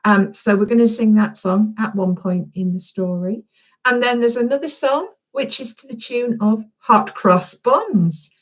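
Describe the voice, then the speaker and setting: A woman's voice: on the high side, expressive, unhurried. A woman who is a children's author, chatting informally and encouragingly to young schoolchildren from a room at home, often checking in with little questions. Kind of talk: chatty, informal live video address to schoolchildren.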